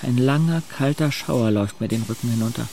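Ein Mann als knarziger, trockener junger Erzähler. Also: male voice, medium pitch, fairly quick, creaky, dark tone, slight background noise, close to an everyday voice. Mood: dry, reflective. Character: Fransje, wry young wheelchair-bound male narrator from a village. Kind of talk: first-person radio drama narration.

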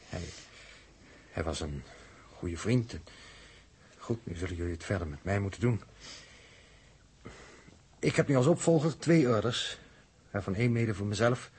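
On a faintly noisy old radio recording, a resolute space commander. His voice is fairly low, and he speaks gravely and with measured firmness, like a sober leader in a sixties radio serial. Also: animated, a little mellow. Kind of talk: 1960s Dutch radio drama acting.

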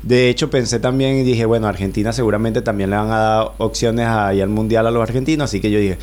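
A man's voice: on the low side, fairly even intonation, quick pace, a little mellow. A Venezuelan man in his thirties, a guest in a radio studio, telling his story at length with many fillers and restarts. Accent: Venezuelan Spanish. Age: 30s. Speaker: guest, bicycle traveler. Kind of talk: relaxed radio interview.

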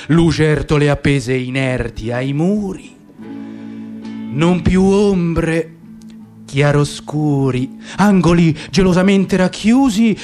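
Slow, lyrical man. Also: on the high side, darkish tone. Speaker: radio theatre performer. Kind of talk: dramatic poetic monologue.

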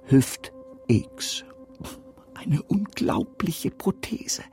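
An actor in a radio drama playing a boastful, driven campaign executive plagued by pain, speaking in clipped, slogan-like lists. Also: male voice, a little high, lively intonation, extremely slow, dark tone, whispered, faint music behind, heavily acted. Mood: boastful, businesslike. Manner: self-important, clipped slogan-like enumerations. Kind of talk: contemporary radio drama monologue.

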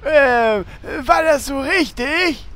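A clumsy, naive newbie in a comic gaming radio play. The voice is very high and jumps around animatedly, yet drawls extremely slowly and whines. A faint noise sits under it. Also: expressive. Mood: excited, naive. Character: naive, clumsy, excitable raid newbie Horst. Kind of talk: comedic audio drama parody acting.

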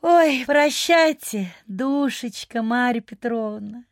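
A busybody country gentlewoman in a radio drama. Her voice is high and unhurried, effusive and self-satisfied, in a dry studio recording. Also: no echo. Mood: effusive farewell. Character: gossipy, self-satisfied provincial landowner lady. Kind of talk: radio play acting.

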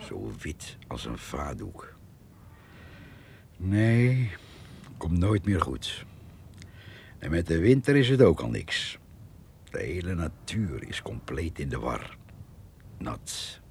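Radio drama: grumbling simple countryman, deep and unhurried with lively swings, folksy and resigned. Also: a little hiss or hum, full character voice. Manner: drawling, muttering, folksy sayings. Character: plain-spoken rural working man, grumbling, fatalistic, folksy.

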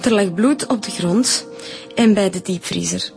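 A woman's voice: medium pitch, a little slow, vocal fry, a little mellow, faint music behind. An actress playing a guarded, defensive woman being questioned in a radio drama. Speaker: victim's daughter.

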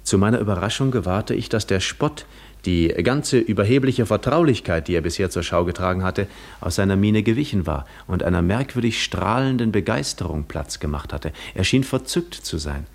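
Weary, lonely Greek wanderer, lowish voice, measured literary storytelling for a 1950s radio play. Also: male voice, expressive, gravelly, heavily acted. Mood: quietly astonished. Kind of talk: 1950s German radio drama narration.

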